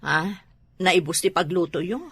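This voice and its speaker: An actress with an Ilocano accent playing a fussy, exasperated aunt home from abroad in a comedy drama. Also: female voice, fairly low pitch, unhurried, fairly bright tone, breathy edge, no room sound, full character voice. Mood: drowsy, exasperated.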